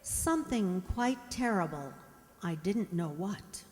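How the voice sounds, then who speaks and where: A woman in a radio drama playing a gentle, anxious young mute servant who voices her thoughts with breathy, wistful drama. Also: on the low side, expressive, takes it slowly. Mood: haunted, wistful. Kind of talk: radio drama narration and inner monologue. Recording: echoey hall with long reverb.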